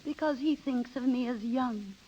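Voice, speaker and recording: A woman's voice: on the high side, moderate pace, warm tone, slight background noise, lightly acted. An actress playing a restless, vain married woman in a theatrical, coaxing 1930s radio style. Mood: fretful. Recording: dull 1930s radio broadcast recording.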